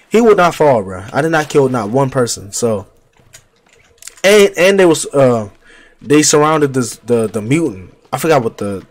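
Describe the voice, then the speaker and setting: A young man livestreaming a game on a headset, chatting loosely in slang and trash-talking enemies, his voice deeper while getting over a cold. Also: a little mellow. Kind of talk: casual gameplay commentary. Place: home gaming setup, indoors.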